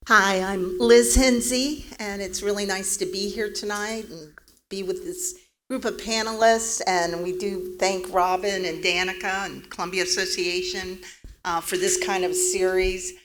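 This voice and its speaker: A woman's voice: mid-range voice, fairly even intonation, a little slow, a bit bright, slight background noise. A woman in her fifties from Washington, D.C., introducing herself on a community panel in a reflective way, with many ums. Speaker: panelist, arts center manager.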